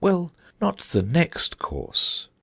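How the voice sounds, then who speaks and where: A man voicing a solemn, dreamy old knight who rambles about his inventions, in a husky voice. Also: moderate pace, exaggerated voice. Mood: musing. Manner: rambling, thoughtful, lively pitch swings.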